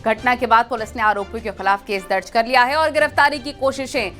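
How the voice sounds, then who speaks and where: A high, bright-voiced woman reads headlines as a news anchor, crisp and formal. Faint music plays under her. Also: steady tone, quick pace. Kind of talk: scripted news reading.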